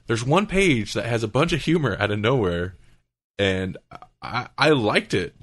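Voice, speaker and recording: A man's voice: mid-range voice, lively intonation, measured pace, fairly bright tone, no echo. A man hosting a podcast, talking casually in a rambling, conversational way as he retells things. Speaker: podcast host.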